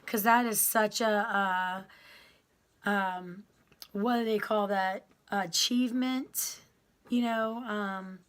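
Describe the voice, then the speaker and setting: A woman's voice: medium pitch, fairly even intonation, slow pace. A woman telling her prison story to viewers in a casual, rambling way, full of ums and restarts. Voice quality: loud voice that carries.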